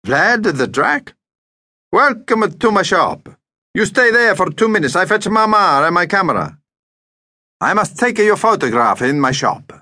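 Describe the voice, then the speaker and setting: A man's voice: sits high, big pitch swings, unhurried, bright, forceful tone, no room sound, voice pushed up, heavily acted. A man voicing a large, proud Greek shopkeeper in a storybook, effusive and hospitable, speaking in emphatic short sentences. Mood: delighted.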